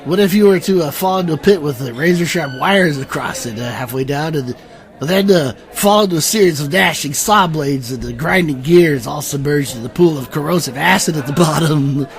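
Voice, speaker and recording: An actor playing a self-satisfied eccentric inventor in a fantasy audio drama, halting and rambling with constant stammered uhs. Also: male voice, a little high, close to an everyday voice. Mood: rambling, proud.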